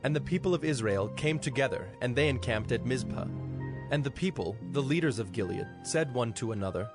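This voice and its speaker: A man narrating scripture from a script in a measured, solemn manner. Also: mid-range voice, expressive, music in the background.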